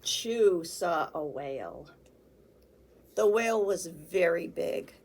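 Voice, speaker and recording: A woman hosting a children's storytime, talking and reading aloud in simple, deliberate phrases for young listeners. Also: lowish voice, expressive, darkish tone, breathy edge. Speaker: children's librarian, storytime host. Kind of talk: picture-book read-aloud for small children.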